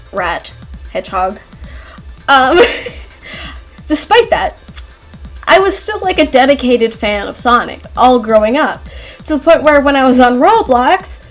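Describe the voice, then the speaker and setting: A young woman with a high-pitched voice talks in a loose, chatty ramble. She is animated and self-deprecating, prone to giggling, like someone telling personal stories in a voice-over. Light music plays faintly behind her. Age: young adult. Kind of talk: casual rambling chat over speedpaint. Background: background music.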